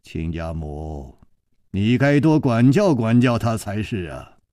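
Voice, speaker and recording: A man's voice: big pitch swings, slow, dry recording, studio quality. Man acting a grave, commanding old swordsman. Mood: scolding. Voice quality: low, grave voice with quiet authority. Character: stern, dignified elderly master swordsman and father.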